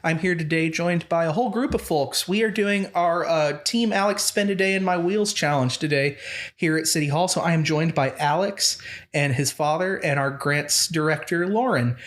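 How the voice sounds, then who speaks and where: A man's voice: a little high, moderate pace, fairly bright tone. A young man, hosting a casual podcast in a friendly, easygoing way. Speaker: podcast host.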